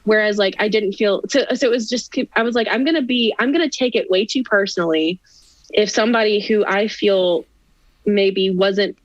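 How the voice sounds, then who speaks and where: Young woman guest, lively and laughing, dry dull recording. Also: medium pitch, normal speed, no echo. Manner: animated, laughing, many restarts. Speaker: podcast guest, singer and actress. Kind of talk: casual interview chat.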